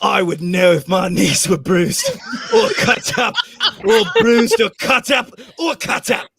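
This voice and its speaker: A man acting a brash, combative space adventurer with a raspy voice in a comedic script read. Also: high-pitched, expressive, slow, a bit bright, dry recording, exaggerated voice. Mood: indignant.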